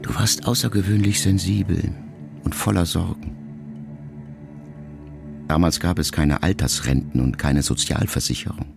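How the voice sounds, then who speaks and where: A man acting in a literary radio play as a grown, middle-aged son who quietly and introspectively addresses his mother in measured phrases. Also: deep voice, creaky. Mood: reflective, remembering. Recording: music behind the voice. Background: music.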